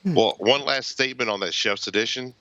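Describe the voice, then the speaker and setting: A man's voice: medium pitch, brisk, bright, forceful tone, no room sound. A man co-hosting a cigar podcast, speaking with emphatic enthusiasm in casual panel chat. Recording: slightly dull lo-fi audio.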